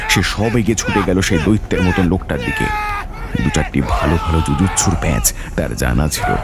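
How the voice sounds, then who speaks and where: A man narrating an adventure story as a scripted, dramatic audio tale. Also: low-pitched.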